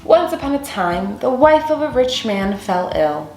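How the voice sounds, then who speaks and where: A young woman reading a children's picture book aloud as a storyteller, with deliberate, expressive phrasing in a small room. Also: on the high side. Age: young adult. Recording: roomy echo, faint music underneath.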